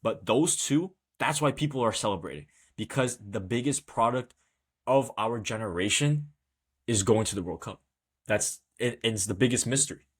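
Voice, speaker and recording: A man's voice: mid-range voice, lively intonation, normal speed, husky. A man from Brazil co-hosting a football podcast, speaking with passion and repeating points for emphasis. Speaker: podcast co-host. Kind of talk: casual football discussion.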